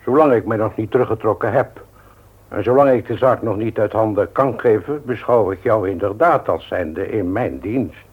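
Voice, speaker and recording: Stubborn old uncle, tinny radio drama. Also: male voice, on the low side, breathy edge. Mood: stubborn, formal. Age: older. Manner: formal, measured, unyielding. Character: stubborn widowed uncle in his seventies, shrewd business owner. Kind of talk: radio play acting. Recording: tinny, narrow-band old radio recording.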